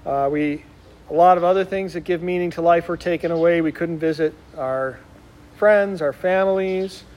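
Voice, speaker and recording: A man's voice: on the high side, moderate pace, a little mellow. A middle-aged man from Wisconsin, a Benedictine monk, giving an informal spiritual conference to oblates, talking conversationally with frequent ums and uhs.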